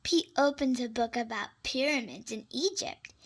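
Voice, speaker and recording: A reader with an expressive style reads a storybook aloud for young children, adding simple exclamations and asides. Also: mid-range voice, normal speed.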